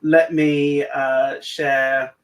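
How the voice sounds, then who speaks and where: Man, British accent, webinar guest, halting with fillers, video call audio. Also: on the high side, flat delivery. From United Kingdom. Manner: halting, many repeated words and fillers. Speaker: guest speaker on AI in recruiting. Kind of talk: webinar presentation.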